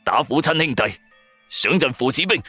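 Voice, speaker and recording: A man's voice: mid-range voice, expressive, normal speed, pushed and bright, breathy edge, no room sound, soft background music. A man voicing a brash, loyal male buddy hungry for riches, exclamatory and slangy, in a radio drama. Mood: earnest loyal. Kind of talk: Cantonese radio drama acting.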